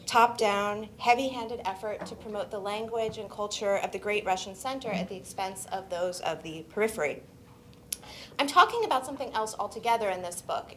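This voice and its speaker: Woman, academic seminar talk, measured scholarly delivery, faint rumble behind. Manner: measured, scholarly, occasional restarts. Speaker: historian presenting her book. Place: seminar room.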